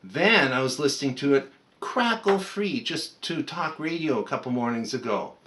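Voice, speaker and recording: A man with a bright, forward voice at a middling pitch gives a casual, unscripted repair walkthrough. He talks like a hobbyist, rambling conversationally from one step to the next. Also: animated, moderate pace, slight background noise.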